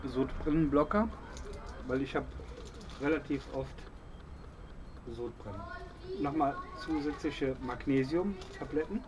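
A middle-aged man casually showing off his first-aid kit outdoors, with a relaxed, hesitant delivery and plenty of fillers. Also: medium pitch, a little slow, long pauses, noisy background. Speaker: bushcraft video host. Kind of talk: casual show-and-tell. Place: outdoors on a patio by a wall.

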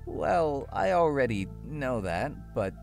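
A man's voice: medium pitch, big pitch swings, slow pace. A man playing a polite, doting kidnapper in a horror game, courteous and affectionate. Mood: courteous, coy. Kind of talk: horror visual novel game acting. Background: game music.

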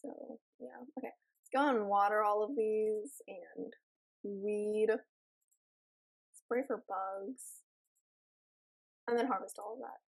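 A raspy young woman casually commenting while she plays a life-simulation game, rambling and giggling with lots of ums. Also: mid-range voice, fairly even intonation, slow, pauses often, rough recording. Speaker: gaming channel host. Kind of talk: casual let's play commentary.

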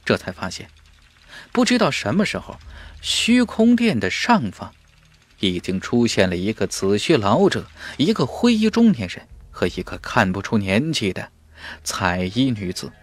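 Male audiobook narrator for fantasy fiction; slow, lively pitch, dry sound. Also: no echo. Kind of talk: scripted dramatic fantasy narration.

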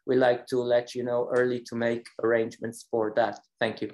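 A man giving a slide presentation on a video call, speaking in a halting, hesitant way. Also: medium pitch, flat delivery, darkish tone. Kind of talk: slide presentation at an online meeting. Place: meeting room, on a video call.

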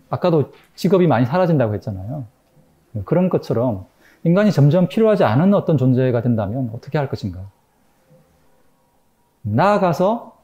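A man's voice: on the high side, warm tone, muffled recording. A middle-aged man giving an academic lecture in a room, thoughtful and hesitant, with many fillers and restarts.

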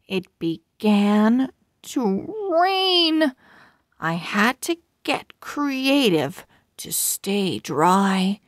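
A woman reading a children's storybook aloud as a read-aloud host, in an expressive, deliberate way. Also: mid-range voice, no echo, clean studio sound. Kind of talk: slow scripted storybook read-aloud for young readers.

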